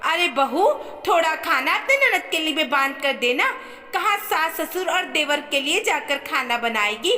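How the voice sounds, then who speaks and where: A woman's voice: high-pitched, animated, unhurried, bright, forceful tone, pitched above the performer's own voice, full character voice. A woman storyteller voicing a bossy, stingy old mother-in-law who taunts and commands in a family storybook tale. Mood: bossy ordering. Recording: long reverberant echo on voice.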